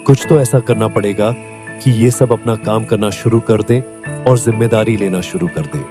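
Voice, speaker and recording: A man playing a worried older farmer and father in a Hindi cartoon story, speaking earnestly and in measured phrases. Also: medium pitch, vocal fry, over music, natural voice. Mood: troubled. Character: worried old farmer, father of three lazy sons.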